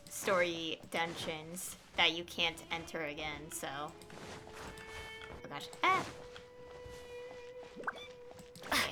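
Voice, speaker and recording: Woman streamer, casual gameplay commentary, thinking aloud, slow pace, very varied intonation, loud game music behind. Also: lowish voice. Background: video game music.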